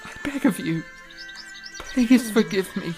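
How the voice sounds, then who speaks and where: Woman doing a young male composer, hot-tempered, remorseful, stammering, slow delivery, faint music behind. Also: medium pitch, animated, a little mellow. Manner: stammering, pleading, breaks into frantic self-scolding.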